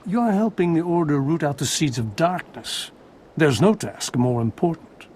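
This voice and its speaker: Man as a high-voiced, pompous Jedi master. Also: expressive, normal speed, a little mellow, slight background noise, lightly acted. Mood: grave, admonishing. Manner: formal, pompous, moralizing. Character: stern, suspicious, disapproving Jedi master. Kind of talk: video game voice acting.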